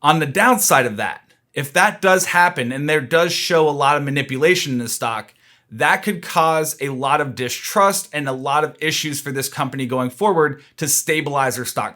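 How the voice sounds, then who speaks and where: A man's voice: a little high, moderate pace, pushed and bright. A man giving informal market commentary, explaining his opinions in a conversational, analytical way.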